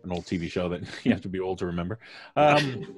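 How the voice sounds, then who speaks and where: A middle-aged man with a deep, low voice hosts a casual live stream. He is jovial and halting, often pausing mid-thought as he introduces people. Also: lively intonation. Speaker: podcast host. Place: home studio, video call. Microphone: studio mic on boom arm.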